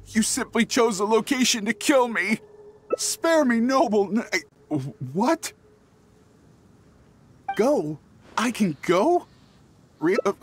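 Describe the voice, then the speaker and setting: A man voicing a cowardly young swindler in a video game, stammering and grovelling nervously. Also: high-pitched. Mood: pleading, grovelling. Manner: stammering, pleading. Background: game music.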